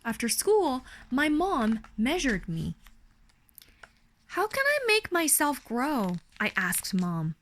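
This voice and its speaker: A woman with a high voice and a Chinese accent reads a picture book to a child at an unhurried pace. Her storytelling is animated and swings widely in pitch. There is faint noise behind her. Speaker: parent reading a bedtime story to a child. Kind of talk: expressive read-aloud of a children's picture book.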